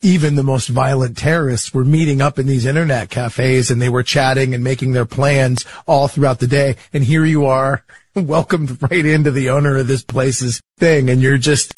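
A man's voice: mid-range voice, fairly even intonation, normal speed, slightly rough. A man hosting an interview, reading prepared setups and then prompting his guest to tell stories. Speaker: interview host. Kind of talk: interview questions, prepared intro.

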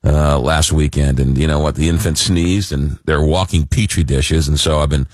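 A man hosting a radio call-in show, talking casually and haltingly in a droll way, slightly hoarse from a cold. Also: extremely low pitch, fairly even intonation, darkish tone, no echo, studio quality. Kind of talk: casual live talk radio monologue. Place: radio studio. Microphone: close broadcast mic.

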